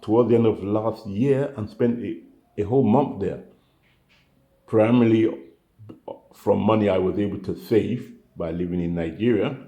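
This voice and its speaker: A man's voice: fairly low pitch, gravelly, dark tone, roomy sound. An older man, a retiree vlogger, chatting casually to camera, rambling and correcting himself as he goes. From United Kingdom.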